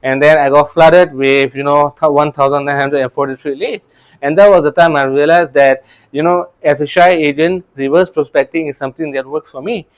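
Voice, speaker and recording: A man's voice: fairly high pitch, fairly even intonation, brisk, clear, resonant tone, low-quality recording. A man, a real estate coach telling his story in an earnest, casual way, often restarting words and adding "right?". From Singapore. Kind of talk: casual motivational talk to camera.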